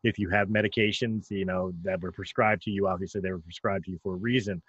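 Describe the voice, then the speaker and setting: A man's voice: low-pitched, steady tone, fast talker, a bit bright, breathy edge, no echo. A man hosting a podcast, talking casually with frequent stammering restarts. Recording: dull, dry, slightly lo-fi.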